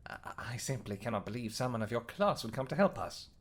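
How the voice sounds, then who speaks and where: A man's voice: medium pitch, expressive, quick pace, pitched above the performer's own voice, natural voice. A man voicing a nervous, deferential nobleman who stammers and speaks in a formal, awed way.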